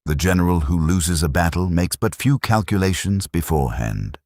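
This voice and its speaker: A man reading a classic text as a scripted audiobook, in a measured, deliberate way. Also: low-pitched, lively intonation, darkish tone, no echo, clean studio sound. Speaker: audiobook narrator.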